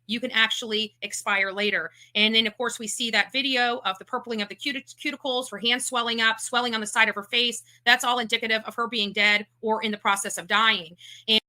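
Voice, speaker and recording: A woman's voice: on the high side, pushed and bright, poor audio. A woman giving emphatic, accusatory commentary on a livestream, repeating her points. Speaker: online case commentator.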